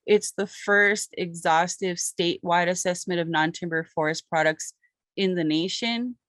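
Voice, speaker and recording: A woman's voice: lowish voice, a bit bright, no echo. A woman from Hawaii, a botanist, giving an earnest academic lecture with slides. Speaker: botanist presenting research.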